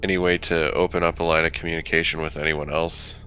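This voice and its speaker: A man playing a tabletop roleplaying game, thoughtfully and tentatively describing his character's actions, with halting phrasing, on a dull microphone with faint noise. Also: deep voice, little pitch movement, sharp tone.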